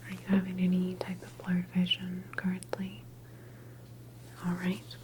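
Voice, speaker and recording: A woman whispering softly and breathily in an ASMR medical roleplay as a calm, reassuring doctor examining a patient. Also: on the low side, noisy background. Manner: gentle, unhurried, reassuring bedside manner. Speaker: ASMR performer playing a government facility doctor.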